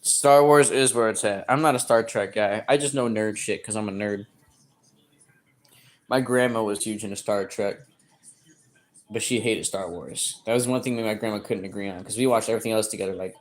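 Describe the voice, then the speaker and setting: A man in his early thirties livestreaming, reading chat aloud and joking crudely, with sudden loud outbursts. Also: lowish voice. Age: 30s.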